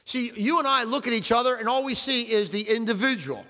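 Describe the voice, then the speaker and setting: An older man preaching a sermon as pastor, with fervent emphasis, slowing down to stress scripture and putting questions to the congregation. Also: very high voice, expressive, sharp tone. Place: church sanctuary. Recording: long reverb of a large hall.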